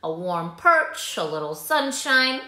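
A woman's voice: fairly low pitch, big pitch swings, takes it slowly, bright, forceful tone, roomy sound, full character voice. A woman voicing a gossiping, boastful old green snake with a wry, knowing manner in a storybook. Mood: reminiscing. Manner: bragging, wry.

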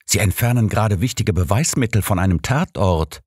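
A man's voice: on the low side, animated, fairly quick, a little mellow, husky, dry recording, studio quality, pitched above the performer's own voice. A man voicing an indignant doctor, a detective's exasperated companion, in an audiobook.

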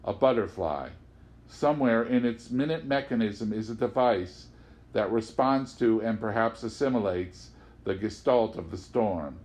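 A man reading literary prose aloud as an audiobook narrator, with an expressive, theatrical delivery. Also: on the low side, a little slow. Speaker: audiobook reader.

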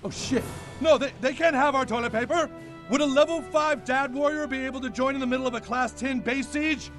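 An actor playing an excitable, greedy cartoon dad who shouts and gets giddy about getting rich, over game music. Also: high voice, brisk. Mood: pleading. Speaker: father.

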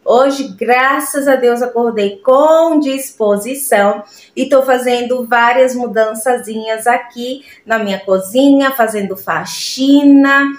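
A woman's voice: a little high, slow pace. Chatty Brazilian woman in an echoey kitchen. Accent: Brazilian Portuguese. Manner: chatty and affectionate, fond of diminutives. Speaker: home vlogger. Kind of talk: casual cleaning vlog narration. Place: home kitchen.

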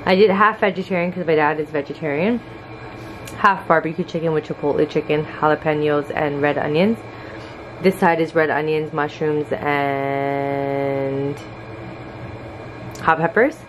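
A woman's voice: low voice, fairly even intonation, measured pace, noisy background. A young woman vlogging from her small bedroom, talking to a handheld camera in a rambling, chatty way and swearing freely. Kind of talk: casual chat to camera. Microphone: handheld camera at arm's length.

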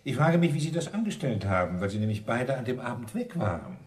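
An actor playing a dogged, sarcastic police inspector who questions in a probing, dry way, in radio crime drama style. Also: male voice, medium pitch, sing-song, quick pace, darkish tone, far from the mic, close to an everyday voice. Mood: sardonic, pressing.

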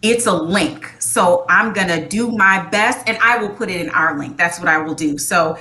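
An American woman in her thirties speaking as a museum panelist, articulate and earnest in measured phrases. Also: bright, forceful tone. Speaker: museum community engagement panelist. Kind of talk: panel discussion remarks. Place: small furnished room at home, on a video call. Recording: video call with clear noise and low rumble.

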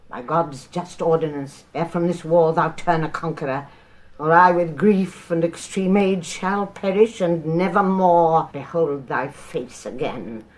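An actress playing a grieving elderly royal mother, solemn and bitter, in Shakespearean tragedy. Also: lowish voice. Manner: solemn, deliberate, declamatory. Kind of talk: Shakespearean tragic verse acting.

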